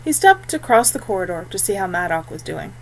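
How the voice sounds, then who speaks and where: A woman's voice: mid-range voice, big pitch swings, a little fast, a little hiss or hum, low-quality recording. A woman reading scripted fiction as an audiobook narrator.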